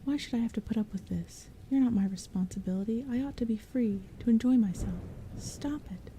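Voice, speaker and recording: A woman's voice: on the high side, measured pace, mellow, slight background noise, voice pushed up, natural voice. A woman voicing an exasperated teenage girl with a pleading, theatrical way of talking. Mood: weary.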